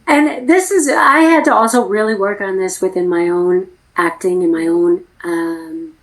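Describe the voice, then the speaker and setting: A woman's voice: mid-range voice, measured pace, rough recording. A middle-aged American woman talking frankly and emphatically as an acting coach in a casual interview. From United States.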